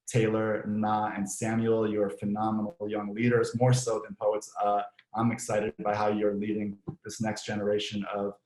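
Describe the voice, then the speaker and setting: A slightly hoarse man speaks in a low, flat voice, offering unscripted thanks at the close of an online ceremony. He restarts phrases often, fills pauses with ums, and sounds recorded in a roomy, echoing space. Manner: relaxed, many ums and restarts. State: slightly hoarse, clearing throat. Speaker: host of an organization's virtual ceremony. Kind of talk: unscripted closing remarks and thank-yous. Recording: echoey room.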